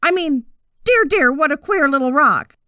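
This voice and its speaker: A woman's voice: sits high, expressive, normal speed, pushed and bright, dry recording, clean studio sound, pitched above the performer's own voice. A woman voicing a tiny, flustered storybook mouse who mutters fussily and trips over its words. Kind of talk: children's storybook character voice.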